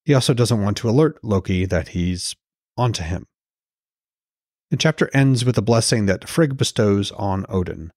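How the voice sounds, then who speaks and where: A man's voice: fairly low pitch, lively intonation, normal speed, darkish tone, no echo, clean studio sound. A man hosting a podcast and reading scripted narration as author and narrator.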